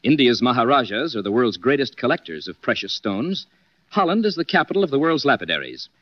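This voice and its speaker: A man acting in a 1940s radio drama as a calm, courteous, shrewd detective who speaks with firm composure. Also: mid-range voice, brisk. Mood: assertive. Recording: dull old 1940s radio recording.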